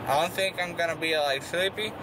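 A man's voice: a little high, fairly quick, noisy background. A young adult man in a casual vlog, talkative and rambling while drowsy after dental surgery. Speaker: vlogger. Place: car, small house rooms and bedroom. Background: music.

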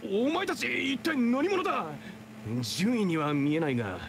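An actor voicing a rough, greedy young male thug in an anime-style game, brusque and scornful. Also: high-pitched, wildly swooping pitch, moderate pace, a crowd in the background. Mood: wary, defiant. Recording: game music behind.

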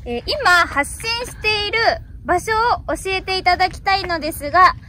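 A woman's voice: very high voice, expressive, normal speed, pushed and bright, slight background noise. A woman in her twenties making polite, formally worded radio contact calls outdoors. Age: 20s. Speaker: amateur radio operator. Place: outdoors on a hillside roadside.

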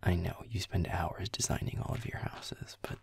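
A man plays a young male werewolf boyfriend in an intimate audio roleplay. His voice is very low and heavily fried, often sinking into a breathy near-whisper. He grumbles grudgingly and sarcastically, with plenty of swearing. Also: little pitch movement, moderate pace, darkish tone. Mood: grudging, muttering.

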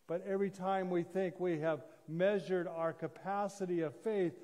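A man in his sixties preaching a sermon as a pastor, solemn and deliberate, with pauses and repetition. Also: high-pitched, steady tone, darkish tone. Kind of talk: sermon, measured oratory. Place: church sanctuary, indoors at a lectern. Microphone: gooseneck lectern microphone. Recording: reverberant church hall sound.

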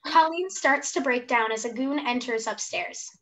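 A young woman reads stage directions aloud on a video call. Her voice is bright and a little high, in scripted narration of a play. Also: normal speed, a little hiss or hum, rough recording. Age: 20s. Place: room at home, indoors.

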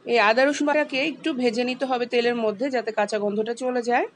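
A woman, calmly walking through a home cooking recipe step by step as the channel's host. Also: fairly high pitch, fast, slight background noise. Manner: calm, instructive, explains each step.

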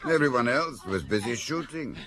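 A man's voice: medium pitch, lively intonation, moderate pace, lightly acted. An actor in a radio drama playing a matter-of-fact man discussing a case, on a noisy old radio recording. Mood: dry.

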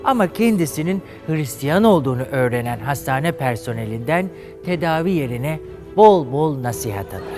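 A man hosting a comedy show, telling a fairy tale in a flamboyant, coy campy way. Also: medium pitch. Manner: flamboyant, coy, addresses audience as "lambs". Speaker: host and storyteller. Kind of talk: campy comic storytelling. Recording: studio audience and music behind.

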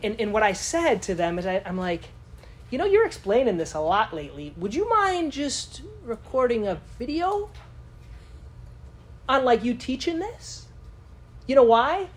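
A woman's voice: on the high side, very animated, measured pace, breathy edge. A woman giving a live lecture to a conference audience in a casual, chatty way, often asking the room questions. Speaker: conference speaker.

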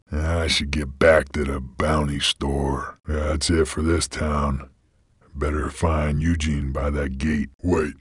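A weary, menacing frontier bounty hunter creature from a video game, speaks in an extremely deep, husky voice. His Western American drawl is slangy and deadpan. The recording is close and dry. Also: no room sound, heavily acted. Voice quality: husky, gravelly. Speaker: game protagonist. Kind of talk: video game character lines.

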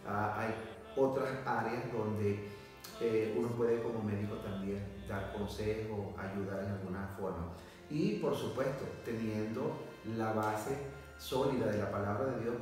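Venezuelan guy 40s, talks slow and careful w lots of fillers, echoey hall sound. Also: fairly low pitch, darkish tone. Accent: Venezuelan Spanish. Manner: measured, hesitant with many fillers, gesturing. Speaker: pastor and missionary, former doctor. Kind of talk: testimony interview. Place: indoor room, seated on sofa. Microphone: far camera. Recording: echoey room from a distance, music behind.

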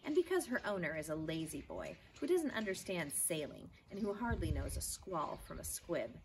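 Woman doing a proud blustery boat owner guy from a kids book, emphatic, pitch jumps around, bit of outdoor noise. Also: very animated, normal speed. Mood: indignant. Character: blustery grown man, proud owner of toy schooner. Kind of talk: children's storybook read-aloud. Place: outdoors in a backyard garden.

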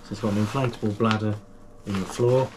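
A man's voice: fairly low pitch, fairly even intonation, quick pace, noisy background. A man, casually unboxing and reviewing gear for his channel in a small home room, chatty and full of fillers and restarts. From United Kingdom. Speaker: kayaking channel host.

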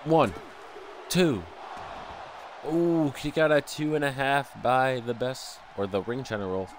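A young man with a stuffy nose doing excitable play-by-play commentary over a wrestling video game, breaking into loud exclamations, recorded at home on a desk mic. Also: medium pitch, takes it slowly, noisy background. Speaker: gameplay commentator.

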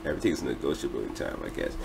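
A man's voice: a bass voice, very animated, rapid-fire, gravelly, darkish tone. A young man hosting a casual reaction video in a slangy, laid-back way from a small room. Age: young adult.

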